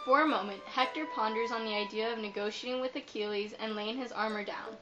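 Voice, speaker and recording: A woman's voice: on the high side, quick pace. A woman narrating a scripted story as a storyteller, on a lo-fi recording. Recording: dull lo-fi sound, music behind.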